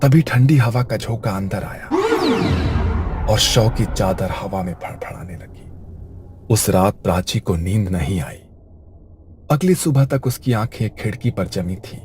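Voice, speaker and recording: A man's voice: lowish voice, lively intonation, slow, pauses often, a little mellow, slight background noise. A man narrating a scripted horror story in a suspenseful storytelling manner.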